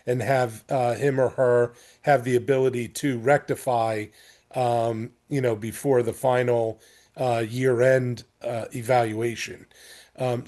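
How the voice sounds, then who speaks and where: An American man talks through his opinion at a meeting of board chairs. He speaks off the cuff, in a conversational, explanatory way, with a mid-pitched voice. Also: a little mellow. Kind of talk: extemporaneous meeting discussion.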